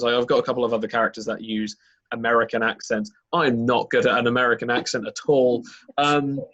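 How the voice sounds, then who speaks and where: Man, game master, casual chat, rambling, mid pitch, dull lo-fi sound. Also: a bit bright. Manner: rambling, many fillers and restarts.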